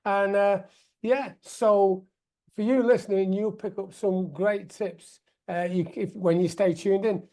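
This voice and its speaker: A man's voice: sits high, steady tone, a little slow, dark tone. A man hosting a veterans' podcast, enthusiastic and upbeat, with fillers and self-corrections as he talks. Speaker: podcast host.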